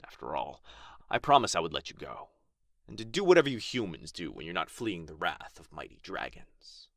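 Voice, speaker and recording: A man's voice: sits low, sing-song, brisk, vocal fry, no echo, studio quality, exaggerated voice. A man playing a proud, pompous male dragon in a fantasy ASMR roleplay, with archly formal, theatrical phrasing. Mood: softening, grateful. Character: proud, haughty injured male dragon, grudgingly grateful, teasingly possessive.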